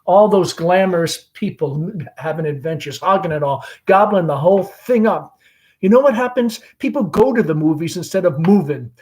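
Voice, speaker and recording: A restless young dreamer from a stage drama, read aloud by a man, with a slightly high voice. He speaks in impassioned, brooding speeches, discontented with his dull working life. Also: lightly acted.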